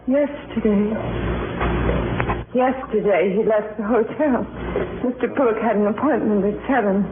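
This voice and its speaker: An actress playing a shaken, timid middle-aged wife in a 1940s radio drama, speaking hesitantly and properly. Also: female voice, mid-range voice, unhurried, lightly acted. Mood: grieving, wistful. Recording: tinny, very noisy 1940s radio broadcast.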